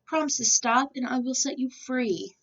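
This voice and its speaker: A woman's voice: high-pitched, normal speed, recorded from a distance, muffled recording, pitched above the performer's own voice. A woman voicing a cranky male village mayor in a storybook, a huffy bully who snaps and growls. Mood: pleading.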